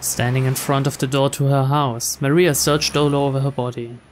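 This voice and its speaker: A man's voice: medium pitch, level tone, moderate pace, slight background noise. A man reading a visual novel's story aloud as scripted narration. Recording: soft music behind the voice.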